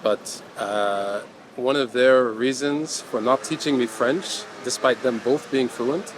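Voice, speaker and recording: Relaxed man from Belgium. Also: mid-range voice, slow pace. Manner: relaxed storyteller, laughs easily. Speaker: interviewee. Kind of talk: casual street chat. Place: outdoors on a city street. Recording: street with background noise.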